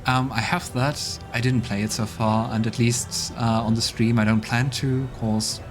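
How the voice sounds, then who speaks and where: A man's voice: mid-range voice, fairly even intonation, a little slow. A man hosting a role-playing game let's play, chatting casually and musing aloud as he plays. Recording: game music playing behind.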